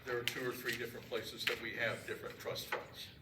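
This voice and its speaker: Man, mid-pitched, loud and jokey, giving a trustee report at church; echoey room, noisy recording. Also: little pitch movement, a little fast. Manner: joking, loud, talks off his notes. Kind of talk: informal talk at a meeting. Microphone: handheld microphone. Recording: echoey room, mic far off, background noise.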